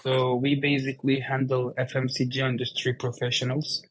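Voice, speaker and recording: Turkish-accented man speaking in a flat, even tone. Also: medium pitch, moderate pace, poor audio. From Turkey. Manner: explains plainly in non-native English. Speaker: guest, company CEO. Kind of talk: casual interview conversation.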